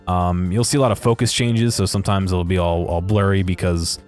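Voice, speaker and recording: Man, deep voice, casual game commentary, unscripted, hesitant delivery, music behind. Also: steady tone, brisk, a little mellow. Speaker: video creator narrating game footage.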